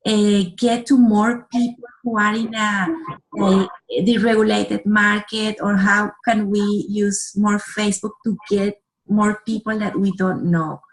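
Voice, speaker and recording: Woman, caller, hesitant, halting, many pauses, middle pitch. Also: little pitch movement, a little hiss or hum, rough recording. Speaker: caller asking a question. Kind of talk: casual question on a group call.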